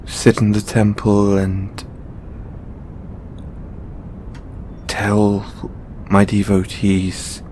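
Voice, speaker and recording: A man playing a stressed, tender god confiding in a lover in an intimate audio roleplay, speaking haltingly with stammers and sighs. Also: darkish tone. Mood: puzzled, pondering. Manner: hesitant, halting, stammering and sighing. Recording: background noise with low rumble.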